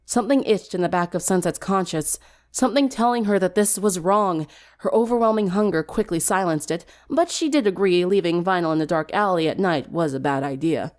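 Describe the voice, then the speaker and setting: A woman's voice: lowish voice, fairly quick, darkish tone. A woman reading a scripted story aloud as a dramatic narrator. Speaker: fan fiction narrator.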